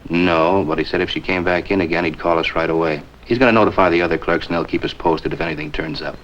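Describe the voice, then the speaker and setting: Fast-talking, helpful cop, matter-of-fact report, low voice, vintage crime drama. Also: male voice, fairly even intonation, fairly bright tone. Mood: brisk, informative. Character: brisk, helpful male policeman reporting a lead. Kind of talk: 1950s police drama acting. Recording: dull vintage soundtrack, faint noise.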